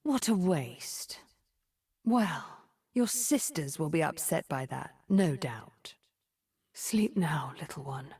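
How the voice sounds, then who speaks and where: A woman playing a cold, scheming sorceress in a video game, menacing and condescending, with a breathy voice and a slow, theatrical, taunting delivery. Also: sits low, expressive, dark tone, full character voice. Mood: quiet menace. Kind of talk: video game character voice lines.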